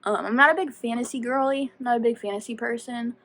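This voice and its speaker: A woman's voice: fairly high pitch, quick pace, fairly bright tone. A woman chatting casually to viewers as a book vlogger, rambling and self-deprecating as she goes off on tangents. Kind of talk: casual chatty video talk.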